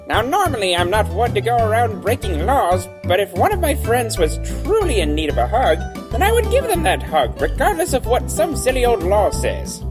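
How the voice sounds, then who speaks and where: A woman voicing a cheerful, kind-hearted, pompous male skeleton in a cartoon dub, earnest and theatrical. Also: fairly high pitch. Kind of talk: fan comic dub voice acting. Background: music.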